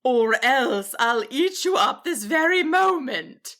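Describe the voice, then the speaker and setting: Woman doing a slow booming kind dragon. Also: expressive, a bit bright, heavily acted. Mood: commanding. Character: big kind but fearsome female dragon, protective.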